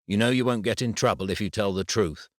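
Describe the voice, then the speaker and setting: A man voicing a worried father in an audiobook, speaking softly and reassuringly to his daughter. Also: on the low side, quick pace, dry recording, studio quality, natural voice. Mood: gentle. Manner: soft, coaxing and reassuring.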